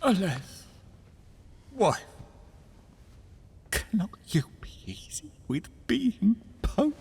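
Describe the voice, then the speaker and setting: A man's voice: sits high, big pitch swings, drawn-out words, vocal fry, husky, heavily acted. An actor playing a timid, henpecked fisherman in a fairy tale audio drama, plaintive and deferential in old-fashioned speech. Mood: alarmed. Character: timid, henpecked fisherman husband, pleading and fearful. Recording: loud music and storm sounds behind.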